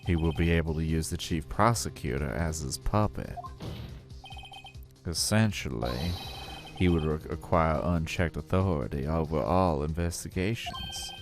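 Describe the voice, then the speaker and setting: A man voicing an earnest young defense attorney who talks in a steady, serious, accusing way, in a fan dub of a game. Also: a bass voice, measured pace, music in the background, full character voice.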